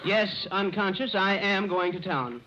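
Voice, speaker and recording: Pompous bookish man, high-pitched and pedantic, for vintage radio comedy with heavy noise. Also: animated, a little slow. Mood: self-important. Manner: pedantic, over-precise, flowery vocabulary. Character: pompous, bookish man clumsily trying out slang. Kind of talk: 1930s-40s radio comedy acting. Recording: noisy, muffled old radio recording.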